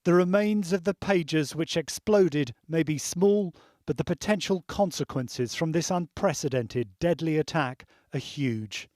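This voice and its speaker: A man's voice: fairly high pitch, measured pace, hardly any pauses, a little mellow, no room sound, clean studio sound. A man reading a scripted news voice-over as a reporter.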